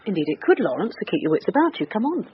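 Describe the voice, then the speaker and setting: A woman with a British accent playing a brisk, keen police detective in a naturalistic radio drama. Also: mid-range voice, very animated, close to an everyday voice. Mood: brisk, wary. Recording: dull radio drama sound, faint noise.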